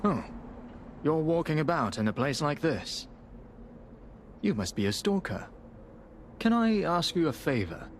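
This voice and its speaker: A man's voice: a little high, big pitch swings, unhurried, long pauses, a little hiss or hum. A man playing a humble, grieving widower in a video game, subdued, weary and hesitant as he pleads. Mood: sorrowful pleading. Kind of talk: video game character acting.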